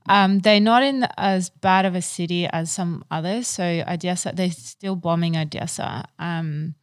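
A woman from Ukraine in her thirties, speaking as a business owner in a relaxed interview. Her voice sits a little low, and the recording is close and dry. She talks in a chatty, loose way, full of fillers and false starts. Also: a little slow, no echo. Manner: chatty, many fillers and restarts. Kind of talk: casual interview answers.

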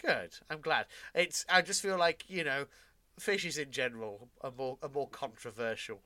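A man's voice: medium pitch, normal speed, bright, forceful tone, no echo. A man, co-hosting a casual podcast chat, hesitant and self-deprecating with plenty of fillers. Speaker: podcast co-host.

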